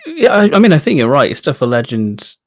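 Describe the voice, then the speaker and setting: A man's voice: mid-range voice, big pitch swings, brisk, no echo, clean studio sound. A man co-hosting a podcast in a casual, conversational fan chat. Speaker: podcast co-host.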